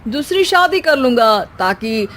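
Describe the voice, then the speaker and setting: A woman's voice: high voice, sing-song, unhurried, fairly bright tone, heavily acted. A woman voicing a bossy young husband in a cartoon who keeps making joking remarks to his wife. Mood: teasing. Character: bossy teasing young husband, office worker. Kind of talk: cartoon voice acting.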